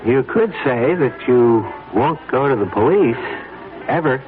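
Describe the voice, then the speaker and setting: A man's voice: medium pitch, animated, a little slow, lightly acted. Actor as scheming radio-drama man, tinny sound. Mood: grim, resigned. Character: nervy scheming blackmailer man, grimly resigned, coolly deceitful. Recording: tinny vintage radio broadcast, music behind.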